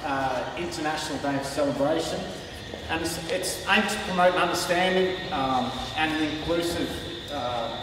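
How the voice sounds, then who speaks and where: A middle-aged man hesitantly giving a welcome speech as a council manager. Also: a little high. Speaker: council manager opening an event. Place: large indoor gallery hall. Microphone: far camera. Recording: very echoey, noisy hall, distant mic.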